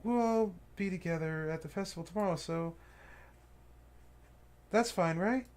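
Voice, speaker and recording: A man's voice: normal speed, darkish tone, natural voice. A man voicing a cheerful, clingy teenage girl from a visual novel, in a raised girlish voice that turns tearful. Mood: bubbly. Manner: high girlish voice, breaking into tearful pleading. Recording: faint game music behind.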